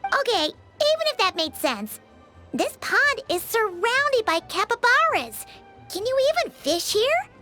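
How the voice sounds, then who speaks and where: A woman voicing a tiny, chirpy, cocky fairy companion in an animated video game, childlike and excitable. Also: measured pace, exaggerated voice. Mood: incredulous. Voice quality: squeaky, childlike. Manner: excitable, cheeky, speaks of self in third person. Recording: game music under the voice. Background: prominent music.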